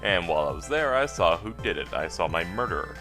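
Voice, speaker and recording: A man's voice: sits low, sing-song, fast, music in the background. A man voicing a sardonic, probing amnesiac dead man in a detective game. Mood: matter-of-fact. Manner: sardonic, questioning, pressing. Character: wry amnesiac dead man, a needling amateur investigator.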